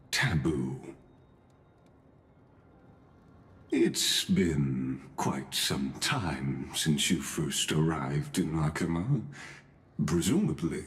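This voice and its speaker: A man playing a gentle, humble scholarly retainer in a video game, speaking in a formal, deferential and measured manner. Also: very deep voice, exaggerated voice. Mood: sympathetic, reassuring. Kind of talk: video game character acting.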